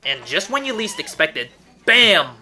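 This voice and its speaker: A man's voice: high voice, very animated, moderate pace, bright, forceful tone. A man narrating a scripted countdown review as host, with emphatic delivery and wry asides, over music. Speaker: host of an online cartoon countdown show. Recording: music under the voice. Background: background music.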